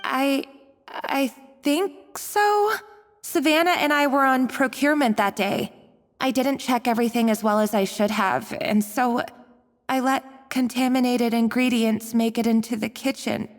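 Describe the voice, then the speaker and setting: A woman's voice: on the high side, unhurried, lightly acted. A woman playing a timid, apologetic young kitchen worker in a game, hesitant and prone to stammering. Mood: guilty, anxious. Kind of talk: English game voice acting. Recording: long reverb over background music.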